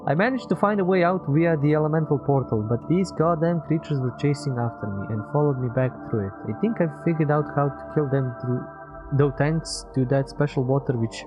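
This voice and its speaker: A man streams a video game and talks his way through it in a casual voice at an ordinary pitch. He thinks aloud, mutters to himself and swears freely. Music plays clearly behind him. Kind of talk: casual live gameplay commentary.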